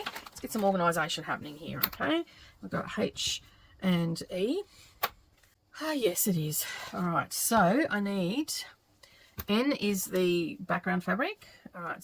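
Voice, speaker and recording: Middle-aged aussie woman talking thru her quilting, bit croaky, lowish voice, lots of ums, faint hiss behind. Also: rough recording. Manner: chatty, thinking aloud with many ums. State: slightly croaky voice. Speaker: quilting channel host. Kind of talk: casual craft vlog narration while working.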